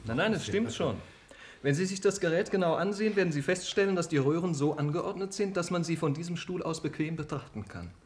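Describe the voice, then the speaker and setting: An actor playing a brisk, precise technical scientist in a 1950s radio drama, speaking in an explanatory manner. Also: male voice, fairly high pitch, lightly acted. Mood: matter-of-fact.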